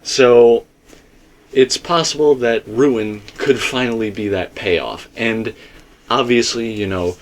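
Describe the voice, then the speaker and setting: A man's voice: mid-range voice, slow. A man hosting a casual theory discussion, talking in a rambling, emphatic way. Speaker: host.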